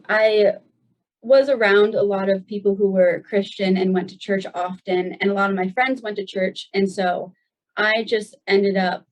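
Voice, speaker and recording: A woman in her twenties from the United States, a guest telling her story in a loose, chatty way with plenty of "um" and "like". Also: mid-range voice. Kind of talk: casual personal storytelling. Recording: dull lo-fi sound.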